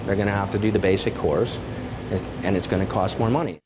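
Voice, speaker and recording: Low-voiced mature man over clear noise. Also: steady tone, fast talker. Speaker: professor, retired military pilot. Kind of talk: interview answers. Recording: clear hum of background noise.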